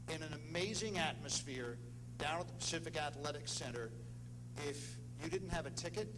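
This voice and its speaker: A man giving an informal speech as presenter to an audience at a ceremony. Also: fairly high pitch. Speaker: former radio sports announcer. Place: large room at a banquet event. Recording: noisy echoing hall with hum.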